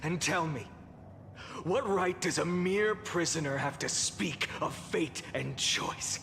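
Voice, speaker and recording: An actor playing a weary, defiant fallen hero in a video game, solemn and grandiose with bitter scorn. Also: male voice, a little high, slow, gravelly, full character voice. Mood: scornful, weary. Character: weary, defiant male fallen hero burdened by countless lifetimes. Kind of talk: dramatic scripted video game acting. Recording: loud music behind the voice. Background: game soundtrack music.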